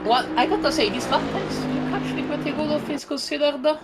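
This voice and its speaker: A woman's voice: high voice, fairly quick. Italian woman commentating over roaring engines. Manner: chatty, many fillers and self-corrections. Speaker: sim racing commentator. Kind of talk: live play-by-play commentary. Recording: loud racing car engine noise behind. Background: loud game car engines.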